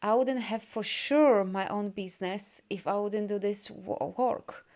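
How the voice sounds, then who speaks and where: A woman from Poland talks in English, her second language, on a live video as a healing coach. Her voice is smooth and clear at a middle pitch, and she keeps things encouraging while rambling through lots of ums and restarts. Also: measured pace, a little mellow. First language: Polish. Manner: loose rambling with many fillers and restarts; upbeat and encouraging. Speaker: energy healer and coach. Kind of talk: live video session reading viewer comments.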